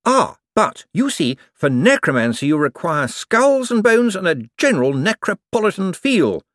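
A jittery wizard bureaucrat, voiced by a male audiobook reader, who squeaks well above his normal register. His flustered, over-explaining voice leaps around wildly in pitch. The close recording is bone-dry. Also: sing-song, normal speed, fairly bright tone, dry recording. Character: nervous, evasive male wizard bureaucrat, middle-aged. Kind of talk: audiobook character voice.

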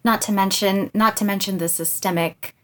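A woman's voice: medium pitch, fairly even intonation, normal speed. A woman hosting a podcast interview, friendly and affirming as she steers the conversation. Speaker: podcast host.

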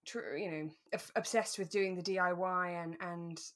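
British woman co-hosting a relaxed podcast. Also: on the low side, normal speed. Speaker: podcast co-host. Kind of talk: relaxed casual chat.

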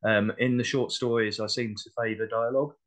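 Male guest, lowish pitch, casual interview chat, modest, reflective. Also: steady tone, fairly quick, fairly bright tone. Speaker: interview guest, playwright and actor.

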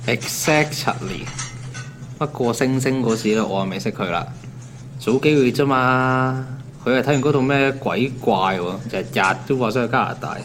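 A man's voice: mid-range voice, normal speed, a bit bright, slight background noise, lightly acted. An actor with a Hong Kong accent playing an easygoing man in his twenties, chatty and given to sighing, in a naturalistic audio drama. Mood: grumbling, resigned. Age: 20s. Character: easygoing young man, sighing over his demanding girlfriend.

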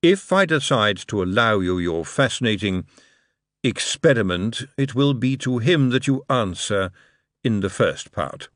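A man voicing a measured, aloof male ruler who speaks deliberately. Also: fairly low pitch, expressive, fairly bright tone, no room sound, clean studio sound. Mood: cool.

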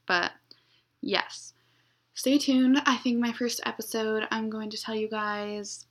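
A young woman hosting her own podcast, talking in a flat, monotone, rambling way and being blunt. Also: medium pitch, gravelly. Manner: blunt, unfiltered. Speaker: podcast host. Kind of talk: casual solo podcast intro.

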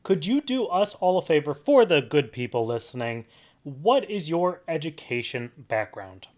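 A man's voice: on the high side, big pitch swings, normal speed, darkish tone, lo-fi sound. A young adult man speaking as the host of a student radio interview show. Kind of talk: scripted radio introduction and conversational interviewing.

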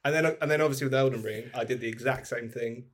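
A man's voice: medium pitch, steady tone, fast, a bit bright. A man talking casually and informally as a podcast guest, in a loose anecdotal style with salty language. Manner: informal, sweary, quotes himself.

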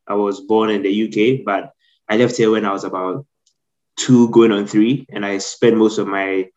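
A young man with a Ghanaian accent, a guest telling his own story in an earnest, measured way. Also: fairly low pitch, little pitch movement, darkish tone, muffled recording. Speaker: guest, trainee solicitor. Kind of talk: reflective personal storytelling.

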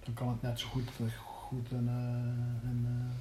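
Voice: mid-range voice, monotone, unhurried, mellow. Hesitant, searching speaker in an echoey room. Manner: hesitant, searching, repeats words. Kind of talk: reflective casual conversation. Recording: echoey room, faint background noise.

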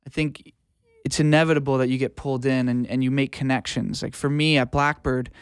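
A man's voice: mid-range voice, fairly even intonation, fairly quick, smooth, dry recording, clean studio sound. A man speaking informally and earnestly as a guest in a casual interview, giving career advice. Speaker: guest, music producer and audio engineer.

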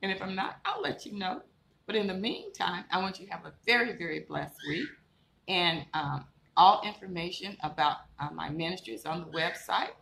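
Woman pastor streaming a sermon, low gravelly voice, echoey room, keeps repeating encouraging lines. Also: expressive, normal speed, a bit bright. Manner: encouraging, repetitive, rising to emphatic declarations. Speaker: minister. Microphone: live stream setup. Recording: echoey room with faint background noise.

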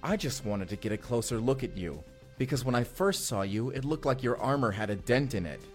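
An actor playing a weary, suspicious young time traveler in an earnest, intense sci-fi audio drama. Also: male voice, medium pitch, expressive, fairly quick, faint music behind, lightly acted. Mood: suspicious, confrontational. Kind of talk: immersive sci-fi audio roleplay acting.

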